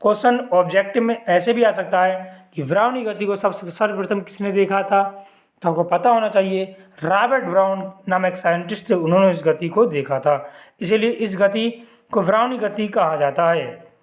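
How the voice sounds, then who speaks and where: A young male chemistry teacher with a sore throat, clearing it now and then, who walks through exam questions and answers his own questions. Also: sits high, normal speed. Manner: repeats points as questions and answers them himself, adds "ji" to sentences. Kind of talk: exam-prep lesson explaining diagrams.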